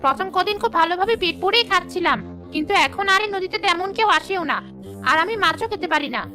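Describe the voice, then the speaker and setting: A woman voicing a short-tempered, hungry river ghost with a bossy, threatening manner in an animated folk tale. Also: extremely high pitch, fast talker, soft background music, full character voice. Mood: confiding.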